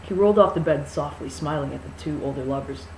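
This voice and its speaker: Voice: medium pitch, quick pace, darkish tone. An amateur reader giving a mock-dramatic novel reading, breaking off into sarcastic, incredulous, sweary asides. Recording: echoey room with faint noise.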